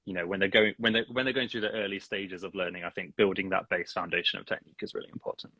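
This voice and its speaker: A man's voice: low-pitched, steady tone, quick pace, bright, forceful tone, no room sound. A man, a singing teacher, chatting casually as a podcast guest, laughing often and poking fun at himself. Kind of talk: casual interview chat.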